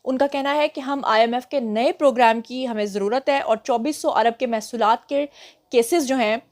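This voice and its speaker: Young woman, Pakistani Urdu accent, news host, sore throat, speaks slowly and carefully, somewhat high voice. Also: darkish tone. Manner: talks slowly and carefully to spare her throat. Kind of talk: casual news roundup for a channel.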